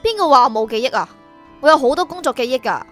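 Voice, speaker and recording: A woman acts a young female soul prosecutor in a radio drama. Her voice sits fairly high, and she is clipped, dutiful and guarded, set over background music. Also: animated, quick pace. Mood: defensive, impatient. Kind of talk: Cantonese radio drama acting.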